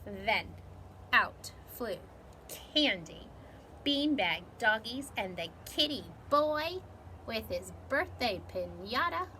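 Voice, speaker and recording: A woman reads a children's storybook aloud with animated drama. Her voice sits fairly high and she phrases things slowly. Faint noise sits behind her. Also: low-quality recording. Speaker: storybook reader.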